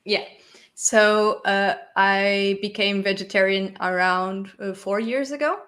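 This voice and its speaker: A woman's voice: medium pitch, fairly even intonation, takes it slowly, a bit bright. Woman chatting casually. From Portugal. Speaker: interview guest, video creator. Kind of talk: casual chat, personal storytelling.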